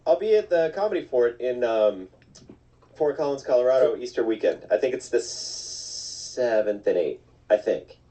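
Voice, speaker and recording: A man's voice: mid-range voice, lively intonation, normal speed, warm tone, distant mic, poor audio. A man in his forties, a touring comedian, bantering on a podcast in a wry, self-mocking way and laughing easily. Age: 40s.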